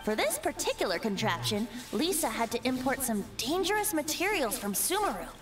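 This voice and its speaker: A woman's voice: sits high, big pitch swings, a little fast, over music. A woman voicing a playful, teasing young male bard in an anime game, boyish and theatrical. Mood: teasing, explaining.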